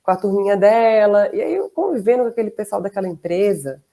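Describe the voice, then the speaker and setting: A woman's voice: medium pitch, animated, fast talker. A woman in her thirties with a Goiânia accent, chatting casually as an interview guest, with frequent fillers and small laughs. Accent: Goiás Brazilian Portuguese. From southern Brazil, raised in Goiás. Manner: chatty, warm laughs between phrases, many fillers.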